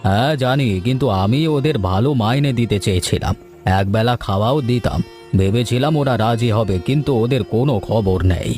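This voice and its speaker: A man voicing a reasonable, well-meaning village moneylender in a cartoon story, with a measured, matter-of-fact delivery. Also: medium pitch, darkish tone, faint music behind, lightly acted. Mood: regretful. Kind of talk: Bengali cartoon story dubbing.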